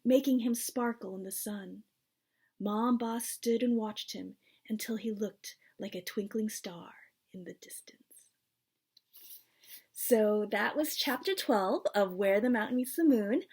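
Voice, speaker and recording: A woman's voice: fairly high pitch, a little mellow, slightly rough. A woman reading aloud from a children's storybook as its author, in a narrative style. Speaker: author reading their book.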